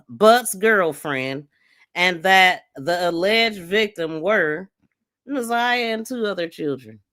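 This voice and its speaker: A woman's voice: fairly low pitch, a bit bright. A woman hosting a crime podcast, giving casual, blunt commentary in an exasperated, scornful manner with emphatic repetition and swearing. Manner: emphatic, repeats phrases mockingly. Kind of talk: casual live commentary on played audio.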